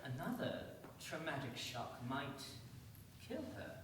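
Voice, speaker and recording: A woman's voice: deep voice, big pitch swings, slow, heavily acted. A woman playing a stern, foreboding, protective housekeeper in a staged radio play, ominous and insistent, recorded echoey and distant with some noise.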